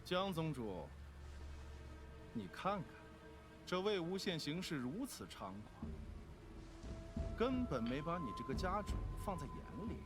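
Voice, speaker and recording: Self-important fantasy clan lord, indignant and theatrical, higher register with lively swings, animated drama. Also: male voice, a little slow, pauses often, over music. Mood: indignant, goading.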